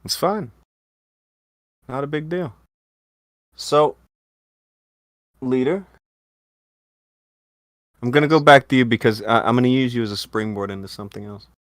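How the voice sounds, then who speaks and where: A man hosting a casual online talk show, joking and swearing, with bursts of loud excitement. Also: medium pitch, unhurried, long pauses, dry recording. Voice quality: lively, swings into loud bursts. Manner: profane, jokey, talks with laughs. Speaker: podcast host. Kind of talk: casual chat.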